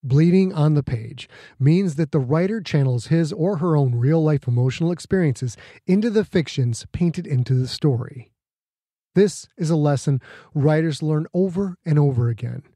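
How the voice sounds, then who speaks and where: A man's voice: a little high, lively intonation, moderate pace, mellow, breathy edge, no room sound. A man reading a scripted, reflective author's note aloud.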